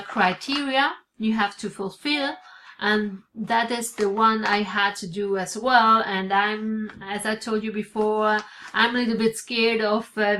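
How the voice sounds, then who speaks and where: A woman's voice: mid-range voice, fairly bright tone, slight background noise. A woman in her forties, Italian by birth and raised in Switzerland, speaking English with an Italian accent. She talks to a webcam from her home office, hesitant, with many fillers and restarts. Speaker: vlogger. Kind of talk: casual vlog to camera. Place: home office with bookshelves, indoors. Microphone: webcam at desk.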